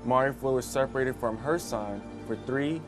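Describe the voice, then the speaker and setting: Male TV reporter narrating a feature. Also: medium pitch. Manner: measured, dramatic reportage. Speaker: TV news reporter. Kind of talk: scripted news narration. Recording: music bed underneath.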